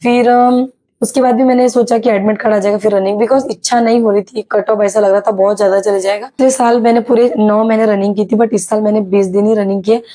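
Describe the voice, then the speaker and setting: A young woman from Maharashtra with a slightly sore, hoarse throat, telling a casual vlog story with many hesitations. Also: medium pitch, fairly even intonation, a little fast, mellow. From Maharashtra, India. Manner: chatty, many hesitations and English words mixed in. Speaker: YouTuber sharing her exam experience.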